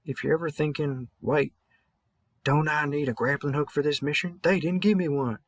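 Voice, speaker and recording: A man's voice: a little high, steady tone, moderate pace, no room sound, clean studio sound. A man playing a tired veteran vampire hunter from the American South, mumbling and rambling folksy encouragement in an intimate audio roleplay. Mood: encouraging.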